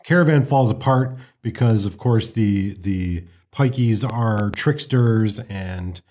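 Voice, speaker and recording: A man's voice: on the low side, takes it slowly, darkish tone, a little hiss or hum. An American man in a casual film discussion as a co-host, explaining things in a hesitant, rambling way. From United States.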